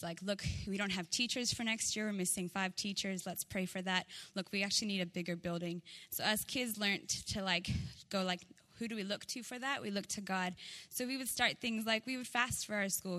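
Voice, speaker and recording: Woman in her twenties, bright clear-cut tone, long hall reverb, conversational, earnest storytelling. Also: medium pitch. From Kazakhstan, Central Asia. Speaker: prayer ministry leader being interviewed. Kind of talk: informal personal testimony. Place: church gathering in a reverberant room.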